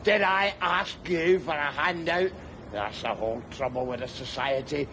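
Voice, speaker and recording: An actor playing a sardonic, rambling middle-aged down-and-out man, who breaks into shouts. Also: on the high side, normal speed, slight background noise. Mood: mocking. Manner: wry, rambling, bursts into shouts. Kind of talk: screen drama acting.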